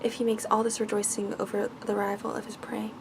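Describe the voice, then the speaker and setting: A woman voicing a brave, gentle and dutiful young woman in a storybook, speaking softly, earnestly and politely. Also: medium pitch, little pitch movement, fast, creaky, background noise, natural voice. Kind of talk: bedtime storybook reading.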